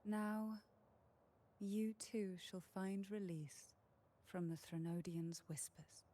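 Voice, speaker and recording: A woman's voice: mid-range voice, fairly even intonation, slow, dark tone, a little hiss or hum, close to an everyday voice. A woman voicing a composed, enigmatic fantasy warrior in a video game, with formal, theatrical phrasing over music. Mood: solemn, calm. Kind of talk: video game character acting.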